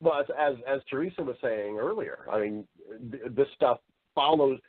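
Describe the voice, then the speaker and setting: A man's voice: mid-range voice, normal speed, phone-quality sound. A man speaking as an interview guest, explaining his views in an earnest, emphatic, conversational way. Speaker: voice-over artist and storyteller.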